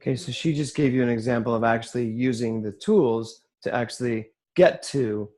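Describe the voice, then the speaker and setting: A man's voice: mid-range voice, normal speed, mellow. Man leading a probing group discussion. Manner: probing, directive. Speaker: group leader.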